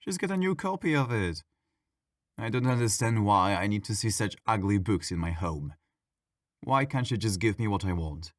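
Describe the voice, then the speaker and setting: Casting: male actor for a petulant ghost in an audio roleplay; lowish voice, casual sass, dramatic griping, very dry sound. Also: expressive, normal speed, dry recording, lightly acted. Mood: sassy, grumbling. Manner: sassy, casual, dramatic complaining. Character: petulant, mischievous male ghost haunting a public library. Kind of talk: ASMR audio roleplay, conversational acting.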